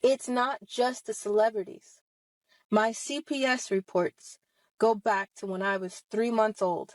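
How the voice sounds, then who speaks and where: A woman's voice: a little high, fairly even intonation, measured pace, no room sound, rough recording. Emphatic, confrontational woman of thirty. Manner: emphatic, confrontational, rhetorical questions. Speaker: online video host speaking about personal experience. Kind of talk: impassioned personal testimony straight to audience.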